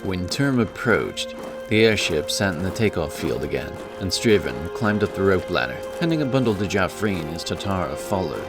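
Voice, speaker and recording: A man's voice: low voice, sing-song, normal speed. A man narrating a scripted fantasy story. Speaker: audiobook narrator. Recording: music behind the voice. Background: music.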